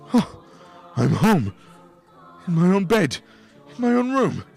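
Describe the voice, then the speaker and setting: An actor playing an excitable old miser in a radio play, theatrical and stammering. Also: male voice, high voice, takes it slowly, vocal fry, husky, soft background music, full character voice. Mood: wondering relief.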